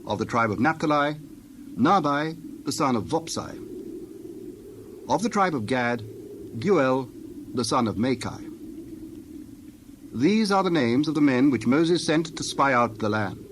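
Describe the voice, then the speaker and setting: A man's voice: medium pitch, expressive, measured pace, pauses often. Solemn male scripture narrator, mid-range voice. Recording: clear background noise with birds.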